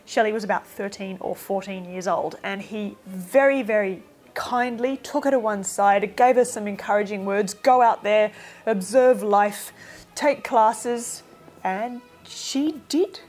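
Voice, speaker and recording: A woman's voice: mid-range voice, a little slow, darkish tone, faint music behind. A woman giving an informal, opinionated film review in a chatty, emphatic way.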